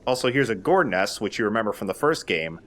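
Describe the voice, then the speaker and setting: A man's voice: fairly low pitch, sing-song, fast, a bit bright, a little hiss or hum. A man giving casual, opinionated commentary over a video game, explaining how it works as he goes. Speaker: host of a video game let's play.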